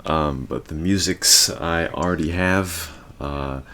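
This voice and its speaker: A man's voice: extremely low pitch, slow, pushed and bright, a little hiss or hum. A man hosting a casual podcast chat, laid-back and teasing, full of fillers and slang. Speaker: podcast host.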